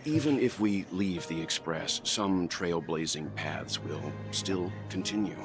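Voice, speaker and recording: Deep calm wise old traveler, game voice, music going behind. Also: male voice. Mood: reflective. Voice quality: deep, measured, thoughtful. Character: calm wise older man, a seasoned traveler. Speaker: game character. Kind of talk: game voice acting. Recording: game audio with music underneath.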